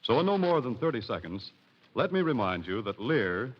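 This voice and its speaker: A man's voice: medium pitch, very animated, normal speed, slight background noise. An American man reading a scripted sponsor message as a 1940s radio announcer. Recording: muffled 1940s radio broadcast.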